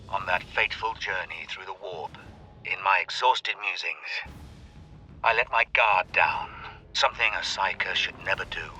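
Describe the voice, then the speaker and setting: A proud, obsessed inquisitor from a dark sci-fi game, recording his private log. His voice is somewhat low and piercingly forceful, with grave, deliberate phrasing that can sink toward a breathy hush. It has a radio-like filter, with music underneath. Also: low-quality recording. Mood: grave, brooding. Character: proud, obsessive male inquisitor psyker keeping a secret journal. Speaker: inquisitor recording research logs. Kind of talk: dramatic scripted game audio log.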